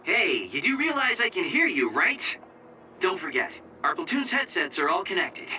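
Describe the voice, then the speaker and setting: An actor in an English anime game dub playing an easygoing young operator who directs a platoon over headsets. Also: male voice, high voice, wildly swooping pitch, normal speed, pushed and bright, far from the mic, a little hiss or hum, exaggerated voice, poor audio. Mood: teasing. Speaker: mission operator.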